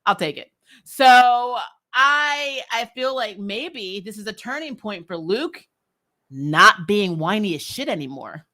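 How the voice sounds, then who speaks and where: A woman's voice: mid-range voice, lively intonation, slow pace, bright, forceful tone. A woman giving casual, opinionated commentary as a recap vlogger, sarcastic and exasperated, with slangy talk and some swearing. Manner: acts out characters' lines mockingly.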